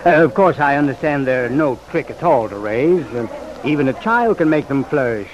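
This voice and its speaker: An actor playing a smug, teasing small-town gentleman in an old-time radio drama, speaking in a mannered, dryly needling way. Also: medium pitch, animated, normal speed, highs cut off, noisy background, lightly acted. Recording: vintage radio recording with crowd murmur.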